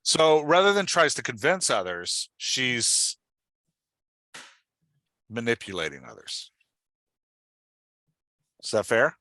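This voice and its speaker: A man's voice: mid-range voice, sing-song, slow, long pauses, bright, forceful tone, dry recording. A man hosting a podcast and coaching in a casual, direct, instructive way, often checking that he is understood. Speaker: podcast host coaching an author on dialogue. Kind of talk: casual one-on-one coaching talk.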